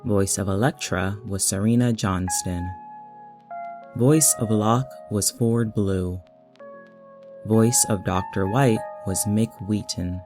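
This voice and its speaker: A man's voice: lowish voice, slow, over music. A man reading scripted end credits as the writer and voice actor of a podcast.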